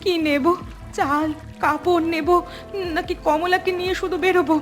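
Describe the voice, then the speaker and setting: A woman's voice: very high voice, steady tone, normal speed. A woman voicing a frightened, fretful village mother in a cartoon, full of anxious questions. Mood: panicked. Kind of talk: Bengali cartoon dialogue. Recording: music behind the voice.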